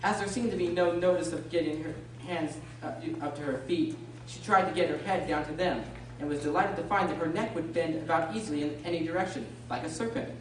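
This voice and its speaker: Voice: medium pitch, brisk, close to an everyday voice. A narrator reading scripted storybook narration for an amateur radio drama. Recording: very echoey room, distant mic, noisy.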